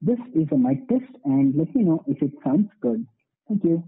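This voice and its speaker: A man's voice: fairly high pitch, expressive, moderate pace, husky. A man who reviews tech, speaking plainly in a microphone test through a wireless earbud. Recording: tinny, phone-like earbud microphone.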